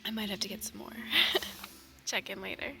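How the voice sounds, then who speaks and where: A woman's voice: medium pitch, fairly even intonation, brisk, gravelly, pushed and bright, a little hiss or hum. A young woman from the Pacific Northwest, chatting casually and candidly to the camera in a vlog, with self-mocking asides. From Washington State, USA. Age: young adult. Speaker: vlogger.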